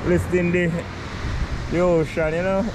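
A man's voice: high voice, level tone, moderate pace. A man with a Jamaican accent chatting casually in Patois as he hosts an outdoor vlog, with a laid-back manner. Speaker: vlog host filming a beach cookout. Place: outdoors on an open sandy beach. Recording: very noisy, windy outdoor action-camera sound.

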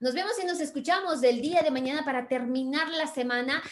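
A woman's voice: high voice, fast talker. Effusive woman podcast host, echoey room. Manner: warm effusive praise, affectionate sign-offs. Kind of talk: podcast interview by video call. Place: bright room at home.

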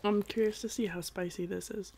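A young woman guest chatting casually while she eats, with a naturally loud voice and lots of "like", seated on a couch at home. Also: medium pitch, steady tone, fairly quick, gravelly, a little hiss or hum. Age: young adult. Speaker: guest, host's sister. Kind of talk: casual chat while eating. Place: living room couch, indoors.

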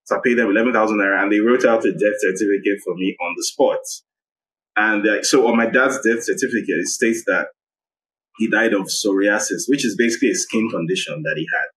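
A man, a guest recounting a personal story in a measured, reflective way. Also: on the low side, a bit bright, rough recording. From Nigeria. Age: 30s. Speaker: guest, investigative journalist. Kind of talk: personal account in interview.

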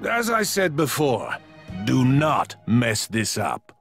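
Snooty cat god for a video game, dry n condescending, lively mid voice. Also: male voice, medium pitch, slow, gravelly, faint music behind, full character voice. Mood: stern, condescending. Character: haughty, sardonic male cat-like god of destruction.

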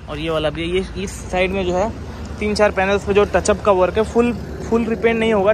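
A man, a used car dealer filming a walkaround of a car for sale and briskly listing its features and price. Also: sits high. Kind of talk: car walkaround and sales pitch. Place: outdoor car lot, then inside a car on the road. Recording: outdoor noise and traffic.

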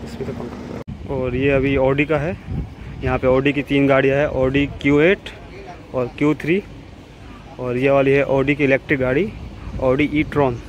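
A man's voice: medium pitch, measured pace, pauses often. A man casually narrating a walkaround vlog at an outdoor car expo, filming on a handheld phone. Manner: relaxed, plain commentary while walking. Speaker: vlogger. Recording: noisy outdoor phone recording.

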